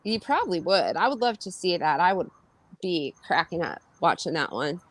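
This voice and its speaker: A woman's voice: lowish voice, sing-song, normal speed, dry recording, poor audio. A woman co-hosting a casual livestream chat, greeting viewers as "honey" and keeping the talk light. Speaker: co-host.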